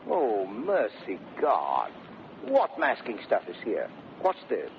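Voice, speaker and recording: Domineering, wildly swooping British husband. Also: male voice, on the low side, huge pitch swings, slow, full character voice. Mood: scornful. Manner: theatrical, declamatory, sweeping from coaxing to bellowing. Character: domineering, mocking husband, swaggering and mercurial suitor. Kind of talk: 1930s British radio Shakespeare acting. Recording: muffled, noisy vintage 1930s radio broadcast.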